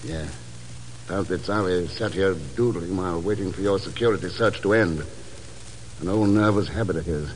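Shrewd secret agent, deep-ish, terse and cool, 1950s radio acting, lightly noisy vintage recording. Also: male voice, a little fast, a little mellow. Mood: probing, cool. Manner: terse, probing, matter-of-fact.

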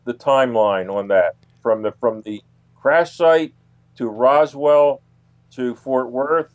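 A man speaks as a researcher being interviewed, in a mid-pitched voice with a dry sound. He talks conversationally but haltingly, often restating a phrase to drive it home. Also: no echo. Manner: halting, repeats phrases for emphasis.

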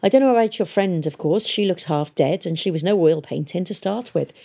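A woman's voice: fairly low pitch, animated, brisk, creaky, mellow, husky, full character voice. A woman voicing a cheerful, chatty male town boss who sounds regretful as he explains himself. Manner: genial, chatty, mock-regretful.

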